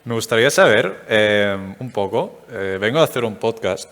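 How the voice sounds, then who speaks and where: A man's voice: fairly low pitch, lively intonation, normal speed, fairly bright tone. Hesitant man interviewer in a reverberant hall. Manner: hesitant, with many fillers. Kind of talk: stage interview at a tech event. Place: event stage.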